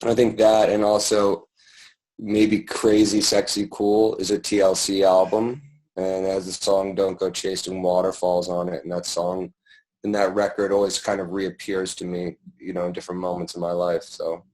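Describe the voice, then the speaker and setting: A man in his thirties with a very low, deep voice answers interview questions. He talks casually and pauses to think, with plenty of fillers and restarts along the way. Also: flat delivery, darkish tone, rough recording. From born in London, raised in Paris and New York. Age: 30s. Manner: hesitant and thoughtful, many fillers and restarts. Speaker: guest, music producer and DJ.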